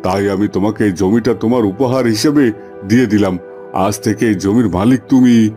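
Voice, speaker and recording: A man voicing a kindly, fair landowner and employer with measured authority in a fairy-tale cartoon. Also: lowish voice, warm tone, lightly acted. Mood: approving, generous. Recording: music under the voice.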